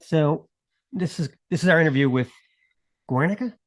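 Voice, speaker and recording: A man co-hosting a podcast, talking in a relaxed way with lots of fillers and wry asides. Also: on the high side, moderate pace, dry recording, studio quality. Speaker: podcast co-host. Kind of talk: casual podcast chat.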